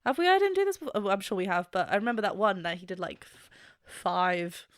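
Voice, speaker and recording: A woman's voice: medium pitch, animated, fast talker, smooth, no echo, studio quality. A woman vocal coach reacting to and analysing a song, enthusiastic and laughing often, singing bits to demonstrate.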